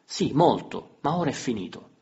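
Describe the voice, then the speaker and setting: A man voicing a cold, impatient young woman who curtly rejects her husband, raised above his own low, husky voice. Her lines are short and dismissive. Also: fairly quick, a little mellow, close to an everyday voice. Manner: curt and dismissive. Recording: slightly dull lo-fi recording.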